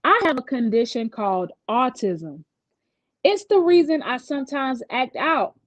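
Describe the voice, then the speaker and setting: A woman's voice: high-pitched, animated, a little slow, no room sound. A woman voicing a sweet, anxious schoolgirl in a storybook, with a nervous, earnest way of talking. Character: anxious, sweet schoolgirl who is easily upset.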